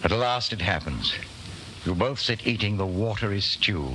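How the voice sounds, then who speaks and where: An actor as a grave narrator, telling the story in measured, ominous 1940s radio drama fashion. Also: male voice, fairly low pitch, expressive, close to an everyday voice. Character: grave male narrator addressing the listener. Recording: noisy old 1940s radio recording.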